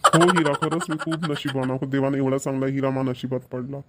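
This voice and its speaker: A man's voice: mid-range voice, level tone, brisk, very noisy, natural voice. A man voicing a grumbling middle-aged village husband in a cartoon, sarcastic with muttered asides. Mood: wry. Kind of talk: cartoon voice acting.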